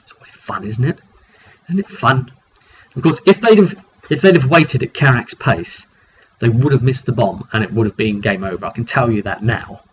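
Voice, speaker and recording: A man's voice: medium pitch, expressive, moderate pace. A British man casually recounting a tabletop roleplay session as game master, telling it off the cuff with dry, wry asides. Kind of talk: casual unscripted recap to camera. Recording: dull lo-fi sound with clear background noise.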